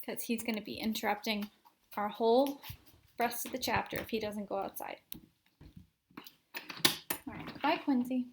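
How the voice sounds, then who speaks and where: A woman reading a children's book aloud at home, slow and expressive, with chatty asides. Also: a little high, gravelly, heavy background noise. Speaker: reader.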